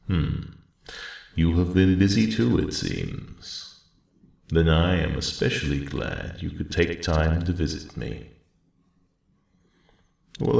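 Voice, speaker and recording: An ancient, wise dragon speaks in an extremely deep, heavily creaking rumble. His delivery is slow, measured and gently formal, kindly and a little weary, as in an intimate ASMR roleplay, with a roomy echo around the voice. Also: heavily acted. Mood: warm, welcoming. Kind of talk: ASMR roleplay audio drama, spoken to the listener.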